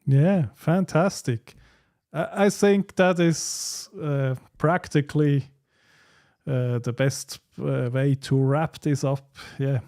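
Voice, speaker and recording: A man hosting a casual livestream chat, speaking in halting, hesitant phrases. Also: a little high, steady tone, dark tone. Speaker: livestream host.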